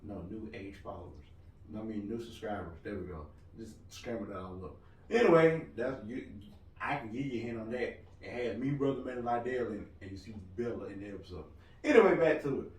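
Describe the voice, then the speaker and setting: A married man co-hosting a casual podcast chat, loud-voiced, joking and rambling as he talks. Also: lowish voice, lively intonation, a little fast. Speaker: podcast co-host. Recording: roomy room, mic at a distance.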